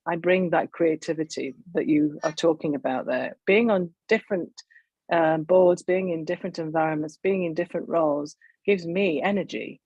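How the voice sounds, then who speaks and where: A woman from Kenya who speaks with a polished English accent. Her voice sits low and has a husky edge. She reflects candidly and laughs easily, over a video call with a dry, close sound. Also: a little mellow, no room sound, low-quality recording. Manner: thoughtful, candid, laughs often. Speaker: panel speaker. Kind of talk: reflective conversation.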